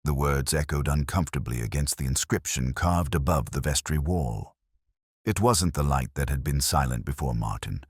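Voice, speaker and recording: An actor playing a melancholy, wry middle-aged police inspector, narrating a detective story in a measured, literary manner with deliberate pauses. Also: male voice, rumbling low, husky, no room sound, studio quality, full character voice. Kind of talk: scripted first-person detective narration.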